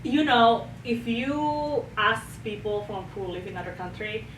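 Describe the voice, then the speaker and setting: A woman from Indonesia with an Indonesian accent, chatting casually as a podcast guest and telling her story in a giggly, animated way. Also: fairly high pitch, a little slow. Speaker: podcast guest, church leader. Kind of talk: casual conversational interview. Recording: roomy, distant mic with clear background noise.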